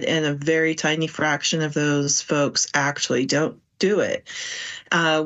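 A woman giving measured, explanatory interview answers as a medical expert. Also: low-pitched. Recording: slightly dull, band-limited audio.

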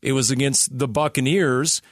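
A man's voice: mid-range voice, fairly even intonation, normal speed, no room sound, studio quality. A man co-hosting a sports talk radio show, analysing in an emphatic way with rhetorical questions.

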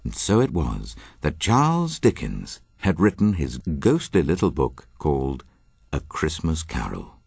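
A man's voice: very deep voice, huge pitch swings, a little slow, vocal fry, a little mellow, slightly rough, no room sound, studio quality. A man introducing a radio drama with scripted narration in a solemn, dramatic storytelling manner.

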